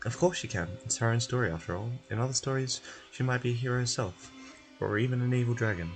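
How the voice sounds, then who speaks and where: A man voicing a calm, gentle caretaker telling bedtime stories, reassuring and measured. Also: medium pitch, soft background music, close to an everyday voice.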